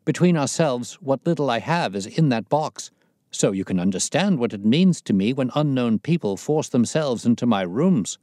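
Guy putting on a jittery fat man for an audiobook, pitched up high, loud n pleading, scared and dodgy. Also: animated, normal speed, no echo, studio quality, close to an everyday voice. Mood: anxious, confiding. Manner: nervous, pleading, agitated. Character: very fat, frightened, jangle-nerved man, anxious and evasive. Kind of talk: audiobook character voice.

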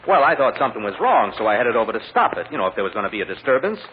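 An actor playing an earnest, chatty restaurant manager testifying in an old-time radio drama, rambling in a folksy way with hesitant asides. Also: male voice, on the low side, very animated, very fast. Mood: rambling, sheepish. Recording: echoing hall, muffled noisy old radio recording.

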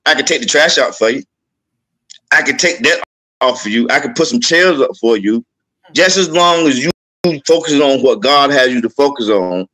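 Earnest man, slightly high voice. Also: fairly bright tone, rough recording. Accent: Southern US. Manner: earnest, repetitive, speaks in lists. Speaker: podcast guest. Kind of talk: testimony-style panel talk.

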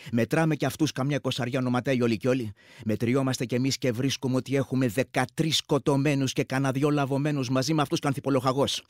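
A man's voice: medium pitch, no room sound, studio quality, natural voice. An actor playing an earthy village war veteran in a radio play, telling his story conversationally with a rural Greek accent and acting out other men's speech. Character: earthy village war veteran recounting brutal combat matter-of-factly.

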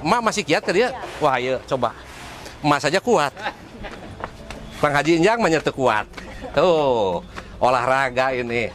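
A man's voice: on the high side, fairly bright tone. A middle-aged man with a West Javan Indonesian accent, chatting enthusiastically and at length as a casual vlog host. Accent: Sundanese-region Indonesian. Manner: enthusiastic, talkative. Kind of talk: casual on-location vlog chat. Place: open-air cafe terrace and outdoor stairs. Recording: clear outdoor ambient noise, passing vehicles.

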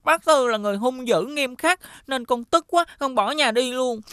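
High, pleading little boy. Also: moderate pace, fairly bright tone. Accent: Southern Vietnamese. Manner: pleading, childlike, polite to elders. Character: hungry young runaway boy, pleading, whiny. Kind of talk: animated folk tale voice acting.

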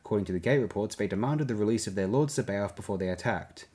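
A man's voice: on the low side, expressive, fast talker, background noise, lightly acted. Man acting a fussy robot servant, formal, deferential, wordy, perpetually surprised, audiobook character. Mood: polite, surprised. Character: fussy, prim male protocol droid, perpetually surprised.

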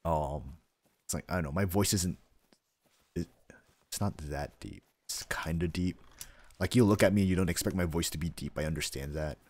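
A young man with an extremely low, bassy voice rambles in a flat, deadpan way while he plays. The sound is dry and close, with no room around it. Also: moderate pace, pauses often, breathy edge, no room sound. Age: young adult. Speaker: streamer. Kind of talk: casual chat while gaming. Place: home room, seated.

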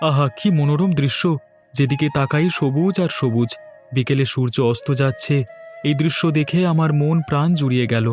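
A man's voice: a little high, fairly quick, a little mellow, soft background music, close to an everyday voice. A man voicing an earnest, poetic son-in-law. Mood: admiring. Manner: earnest, wistful. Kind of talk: cartoon voice acting.

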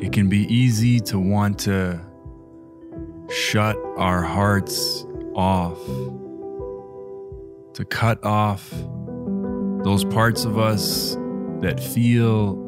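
Male meditation guide, low register, very unhurried, gentle hushed phrasing with long pauses. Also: fairly even intonation. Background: music.

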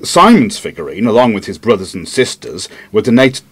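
Male actor as opinionated sidekick investigator; earnest, husky, very animated intonation. Audio drama. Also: lowish voice, a little fast, heavily acted. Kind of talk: podcast-style audio drama dialogue.

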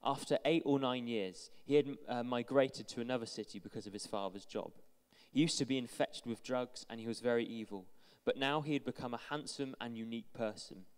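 A young man with a smooth, resonant voice reads a testimony aloud in a steady, earnest way, now and then tripping over a word. He holds a handheld mic, and his words ring out through a big church hall with a long echo behind them. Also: medium pitch, a little fast, hardly any pauses. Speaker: church member reading a friend's testimony. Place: large church hall with stage.

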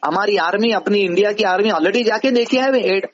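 A man's voice: high voice, brisk. A man with a Hyderabadi accent explaining things didactically on a phone call, often asking rhetorical questions. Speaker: advisor answering a caller. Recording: dull lo-fi phone call recording.

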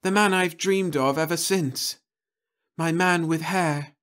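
A man's voice: on the high side, slow, a bit bright, pitched above the performer's own voice. A man voicing a dreamy young woman who speaks breathily in amazement. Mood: breathless.

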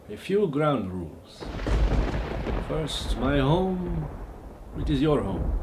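A man playing a genteel vampire host with a mock Eastern European accent in a comic monologue, halting and overly polite, with awkward deadpan asides. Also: medium pitch, background noise. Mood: courtly welcome.

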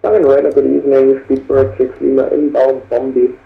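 A man's voice: medium pitch, flat delivery, fairly quick, gravelly. A man speaking as a simulator pilot, giving short readbacks over a radio link. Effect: radio transmission. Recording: muffled, noisy, echoing radio link.

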